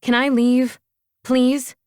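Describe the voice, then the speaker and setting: A woman's voice: a little high, moderate pace, mellow, dry recording, studio quality, lightly acted. A woman voicing a tired, anxious teenage girl in an audiobook, pleading and reluctant. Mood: pleading, exasperated.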